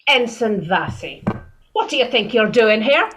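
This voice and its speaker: A woman playing a brisk, bossy starship crew member in a science fiction audio drama, with an officious manner. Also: a little high, big pitch swings, fairly bright tone.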